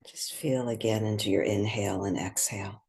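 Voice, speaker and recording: A meditation guide with a soft, breathy voice, speaking gently and without hurry, leaving long pauses. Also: medium pitch, steady tone.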